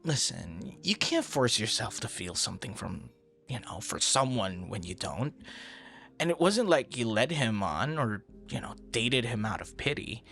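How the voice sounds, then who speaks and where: A man performing an ASMR roleplay as an earnest, easily flustered young stranger, talking conversationally with hesitant repeats and hushed asides. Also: mid-range voice, lively intonation, vocal fry, soft background music. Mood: sympathetic, reassuring. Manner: hesitant, halting, soft-spoken asides, repeats words.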